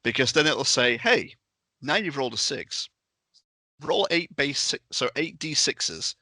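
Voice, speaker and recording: A man's voice: mid-range voice, lively intonation, measured pace, sharp tone, no echo. A man in a casual podcast discussion, talking emphatically as a game master and acting out his examples.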